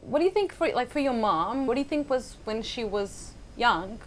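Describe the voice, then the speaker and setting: A woman's voice: on the high side, animated, moderate pace, a crowd in the background. A woman journalist asking direct, probing questions in an interview.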